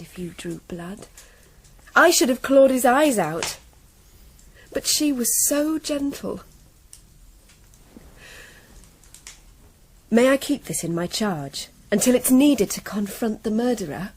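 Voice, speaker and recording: A headstrong young noblewoman, expressive. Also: on the high side, a little slow, husky, rough recording. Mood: grieving, vengeful. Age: young adult. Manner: intense, defiant, formal diction. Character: headstrong, proud young noblewoman of medieval England. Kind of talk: radio drama acting.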